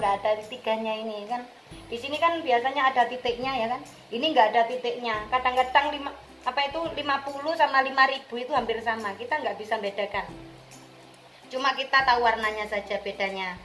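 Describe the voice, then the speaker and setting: High-voiced East Javanese woman chatting, echoey room. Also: a bit bright. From Indonesia. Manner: colloquial, mixing Javanese slang, addressing viewers directly. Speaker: vlogger, returned migrant worker. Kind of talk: casual chatty monologue to viewers. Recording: roomy echo with music behind.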